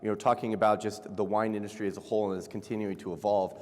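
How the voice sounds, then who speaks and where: A man with a fairly low voice talks in an easygoing way, leaning on fillers as he presents. He leads the conference conversation. His words ring out with a long reverb across a large hall. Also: level tone, fast. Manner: easygoing, filler-heavy business pitch. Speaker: fireside chat moderator and presenter. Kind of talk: conference fireside chat.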